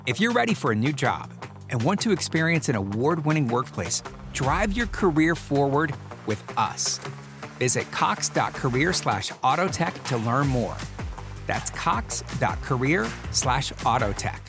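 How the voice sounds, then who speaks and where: Male commercial announcer, persuasive and animated, soft music underneath. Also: a little slow. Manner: upbeat persuasive pitch. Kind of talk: scripted recruiting ad read.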